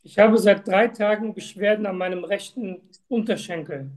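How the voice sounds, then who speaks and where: A woman role-playing a patient in a medical interview, giving short, deliberate, matter-of-fact answers. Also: mid-range voice, darkish tone. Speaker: simulated patient in medical exam practice.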